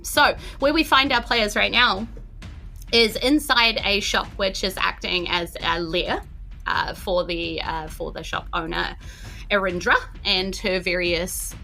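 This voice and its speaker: Bright, animated woman game master. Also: medium pitch, gravelly. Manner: excited. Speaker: dungeon master, host. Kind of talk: narrating a recap for a tabletop game podcast.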